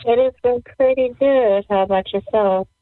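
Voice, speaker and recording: A woman's voice: fairly high pitch, moderate pace, no echo. A woman from Jamaica who grew up in Las Vegas, answering casually as an interview guest. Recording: dry, thin phone-like audio.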